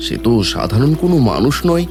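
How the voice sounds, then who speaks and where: A man's voice: medium pitch, sing-song, quick pace, close to an everyday voice. A man playing a simple, awestruck village man in a fairy-tale cartoon, full of wonder. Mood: amazed. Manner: wondering, breathless amazement. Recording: music behind the voice.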